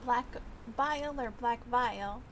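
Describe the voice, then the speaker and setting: A woman's voice: on the high side, slow, fairly bright tone, slight background noise. A woman chatting casually during in-game roleplay as a streamer, hesitant and often thinking aloud.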